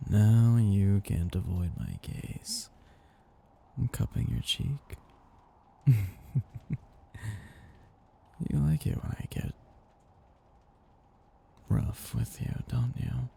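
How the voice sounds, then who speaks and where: A very dark, low-pitched vampire master, acted out by a man for intimate ASMR roleplay. He speaks slowly and breathily, with teasing, condescending affection and a possessive, aristocratic air that swings between murmurs and fuller tones. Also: vocal fry, husky, heavily acted. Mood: teasing, commanding. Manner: slow, teasing, condescendingly affectionate, with whispers and chuckles.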